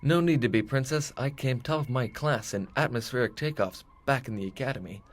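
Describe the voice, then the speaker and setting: A man's voice: medium pitch, a little fast, close to an everyday voice. A man playing a dashing, cocky space captain with jaunty old-fashioned bravado in a retro sci-fi audio drama. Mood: confident.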